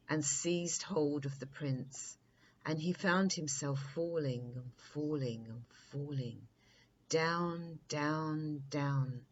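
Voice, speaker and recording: A woman narrates a children's bedtime story in a low voice. She takes her time over each phrase. The sound is somewhat dull, with a faint layer of noise.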